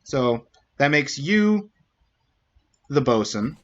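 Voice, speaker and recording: A man talking through a game on stream, working out clues aloud at an unhurried pace. His mid-pitched voice comes through a slightly dull, lo-fi mic. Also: big pitch swings, fairly bright tone. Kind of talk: casual gameplay commentary.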